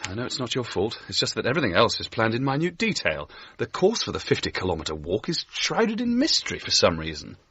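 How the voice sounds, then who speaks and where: An actor playing an earnest, polite 1930s British race walker, articulate and understated, in a radio drama. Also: male voice, lowish voice, wildly swooping pitch, fairly quick, few pauses, bright, forceful tone, full character voice. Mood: concerned. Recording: dull radio recording, faint background noise.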